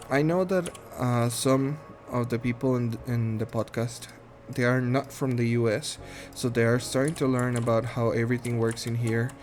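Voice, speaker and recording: A man in his thirties from Guatemala, speaking English with a Guatemalan Spanish accent, introducing himself in a shy, halting way full of ums and restarts. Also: medium pitch. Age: 30s. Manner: shy, soft-spoken, earnest. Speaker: gaming channel host. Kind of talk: casual self-introduction while playing a game.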